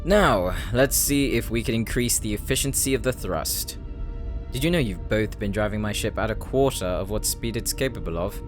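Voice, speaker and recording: A man's voice: fairly low pitch, animated, moderate pace, soft background music, natural voice. A man playing an anxious, earnest young paladin pilot in an audio roleplay, hesitant and formal in his wording. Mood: earnest, grateful.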